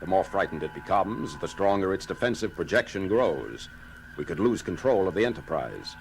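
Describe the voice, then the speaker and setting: Low-voiced, logical science officer. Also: male voice, big pitch swings, a little hiss or hum. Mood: grave. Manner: precise, formal, measured. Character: logical, measured alien science officer aboard a starship. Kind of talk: dramatic science fiction audio play acting. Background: loud dramatic music.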